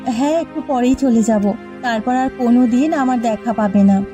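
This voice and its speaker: A woman's voice: a little high, moderate pace, music in the background, close to an everyday voice. A woman playing a sensible, reproachful wife in a village cartoon drama, pleading and scolding as she talks. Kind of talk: Bengali cartoon story voice acting.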